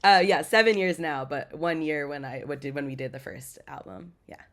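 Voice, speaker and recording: A woman's voice: sits low, moderate pace. A young American woman, a metal band vocalist, talking casually in a podcast interview with lots of "like" and "um" fillers. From United States. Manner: chatty, many "like" and "um" fillers.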